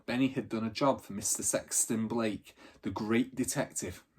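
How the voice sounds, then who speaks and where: A man reading a children's adventure book aloud as a storyteller, in animated narration. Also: mid-range voice, slightly rough.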